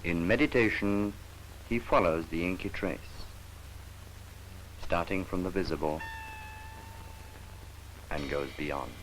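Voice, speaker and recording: A man narrating a scripted 1950s educational film in a solemn, measured, poetic manner. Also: deep voice, fairly bright tone. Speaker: documentary narrator. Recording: noisy 1950s archival film soundtrack.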